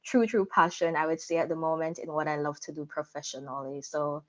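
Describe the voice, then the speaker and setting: A woman's voice: low-pitched, fairly quick, highs cut off. A young adult woman telling her personal story candidly as an interview guest. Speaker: interview guest, content creator.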